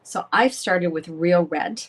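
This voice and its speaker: A woman's voice: fairly low pitch, slow pace. A middle-aged woman from Canada with a head cold, hosting a casual live craft demonstration and greeting viewers by name.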